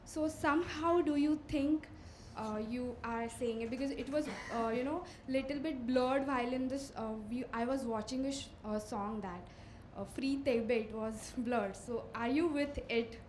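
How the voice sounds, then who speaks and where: High-voiced rambling woman in an echoey room. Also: normal speed, darkish tone. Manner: rambling, hesitant, giggly. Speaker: audience member asking a question. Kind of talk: spontaneous question. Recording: echoey room, faint background noise.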